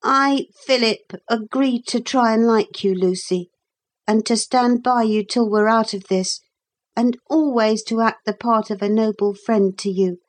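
Woman voicing a proud, impatient schoolboy, raised pitch. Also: fairly even intonation. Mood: solemn. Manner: curt, brisk, proper schoolboy phrasing. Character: young boy, proud, impatient, standoffish, grudgingly noble.

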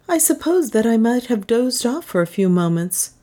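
A woman's voice: a little high, sing-song, moderate pace, dark tone, voice pushed up. A woman voicing an anxious, apologetic male priest in an audiobook, full of dismay. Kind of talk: audiobook character acting.

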